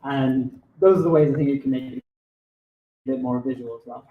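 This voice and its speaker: A man on a live café panel, enthusiastic in his praise while pausing to find his words. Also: mid-range voice, fairly even intonation, echoey room, phone-quality sound.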